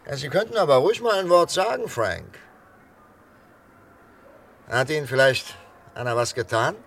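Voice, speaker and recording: A man playing a calm, coaxing psychiatrist in a 1960s German radio thriller, talking in a hesitant, conversational way. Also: on the high side, moderate pace, creaky, full character voice. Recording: 1963 radio recording, faint noise.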